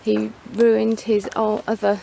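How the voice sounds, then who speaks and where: A woman with a cold, hoarse and croaky, chatting through a casual review with many hesitations, outdoors at a horse yard. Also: mid-range voice, monotone, takes it slowly, warm tone, a little hiss or hum. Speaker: horse owner vlogger.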